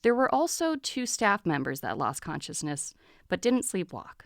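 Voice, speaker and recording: A woman's voice: fairly low pitch, very animated, normal speed, gravelly, no room sound, clean studio sound. A woman playing a harried senior hospital doctor in an audio drama, matter-of-fact and cautious. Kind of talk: dramatic podcast acting.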